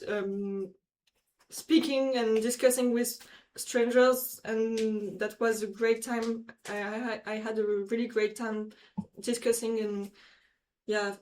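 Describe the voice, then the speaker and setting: A nineteen-year-old French woman speaks English with a French accent, pausing often to search for words. Her voice sits fairly high. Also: a little mellow, low-quality recording. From France. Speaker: student volunteer guest.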